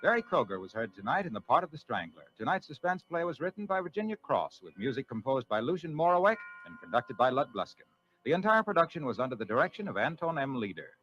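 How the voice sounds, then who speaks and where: A man's voice: mid-range voice, sing-song, quick pace, fairly bright tone, soft background music. A man announcing on 1940s radio with a polished, emphatic sponsor's delivery. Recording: dull vintage 1940s radio broadcast.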